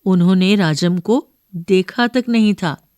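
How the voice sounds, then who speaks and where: A woman's voice: mid-range voice, slow, a little mellow, smooth, dry recording. A woman narrator reading a scripted story for schoolchildren.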